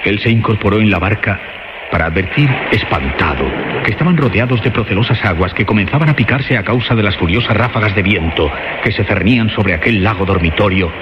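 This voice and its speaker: A man's voice: fairly low pitch, animated, brisk, very noisy. A man narrating a scripted horror radio drama in a solemn, literary storytelling manner. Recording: dull lo-fi track under loud music.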